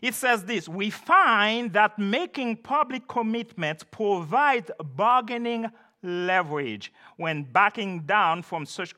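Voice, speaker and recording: An older man whose first language is French, preaching a sermon in a deliberate, emphatic manner. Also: high voice, sharp tone. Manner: deliberate, emphatic preaching with occasional self-deprecating laughs. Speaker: pastor.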